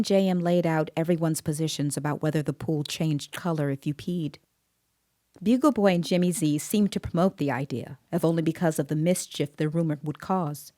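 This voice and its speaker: An actress plays an observant woman who questions a child witness and narrates the story. Her voice is low and dark in tone, recorded dry and close, with restrained, precise delivery in an audio drama style. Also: no echo, lightly acted. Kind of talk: scripted first-person dramatic narration.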